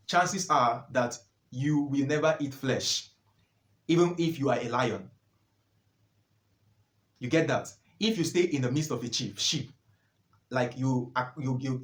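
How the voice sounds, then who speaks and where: A young man of nineteen talks straight to the camera with earnest, preachy conviction. He drives his points home by repeating words and phrases, and his voice sits at an ordinary middle pitch. The room around him is echoey. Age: young adult. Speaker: vlogger. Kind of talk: motivational advice vlog.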